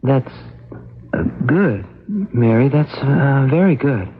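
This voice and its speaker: An actor playing a patient, worried brother who explains gently and carefully in a radio drama. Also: male voice, mid-range voice, big pitch swings, slow pace, husky, heavily acted. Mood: uneasy, probing. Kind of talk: 1970s radio drama acting. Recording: dull lo-fi radio broadcast recording.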